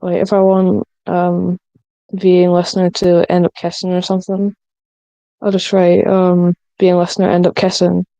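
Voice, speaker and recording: A woman speaking hesitantly and haltingly as a writer on a casual podcast panel. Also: on the low side, monotone, warm tone, clear, resonant tone, dry recording.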